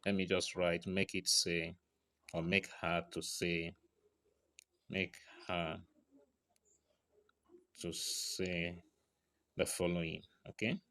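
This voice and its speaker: A man's voice: deep voice, level tone, slow, long pauses, pushed and bright. A man narrating a casual step-by-step screen tutorial, often checking in with short tags like right and okay. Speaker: tutorial presenter.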